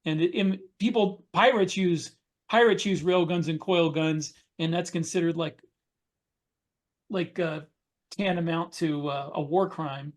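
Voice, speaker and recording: A man talking casually as a podcast co-host on a video call, often halting and rephrasing as he explains. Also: on the high side. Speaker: podcast co-host, science fiction author. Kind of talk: casual panel discussion. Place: indoors at home, video call.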